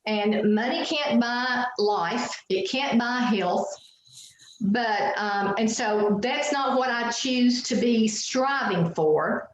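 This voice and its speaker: A woman's voice: fairly high pitch, slow. Twangy Appalachian woman in an echoey room. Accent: Southwest Virginia Appalachian twang. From Southwest Virginia. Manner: folksy, chatty, laughs easily, many fillers. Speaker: guest. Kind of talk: casual storytelling interview. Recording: very echoey room with faint noise.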